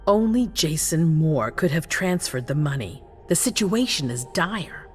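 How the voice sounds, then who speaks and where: Casting: a cold vampire ruler in a game; deep for a woman, very varied intonation, imperious and deliberate. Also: animated, soft background music. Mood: cold, concerned. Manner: regal, controlled, deliberate, at times hushed. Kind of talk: dramatic video game acting.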